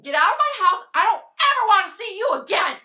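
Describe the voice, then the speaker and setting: A woman performing a dramatic monologue as a volatile, self-pitying, jilted young woman, swinging between breathy whimpers and loud outbursts. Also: very high voice, sing-song, takes it slowly, full character voice. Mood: furious, betrayed.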